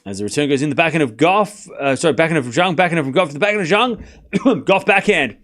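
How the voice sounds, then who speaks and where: A man's voice: a little high, expressive, fairly quick, fairly bright tone. A man with an Australian accent, giving casual live tennis commentary and teasing his chat. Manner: teasing, boastful, talks straight to chat.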